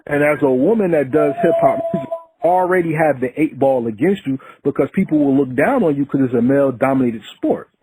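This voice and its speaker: A man's voice: fairly high pitch, a little fast, noisy background. A man hosting a hip-hop podcast, asking questions in an earnest, preachy way. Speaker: podcast host. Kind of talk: casual interview.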